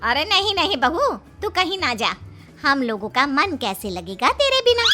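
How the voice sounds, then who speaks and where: A woman's voice: sits high, big pitch swings, normal speed, pushed and bright, faint music behind, full character voice. A woman voicing a friendly middle-aged neighbour aunty in a cartoon story, effusive and affectionate. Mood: pleading, fond.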